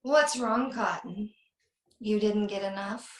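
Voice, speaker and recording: A woman playing a flirtatious, guarded housewife in a slasher drama, with a slow, teasing manner. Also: medium pitch, natural voice. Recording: roomy, echoey video call.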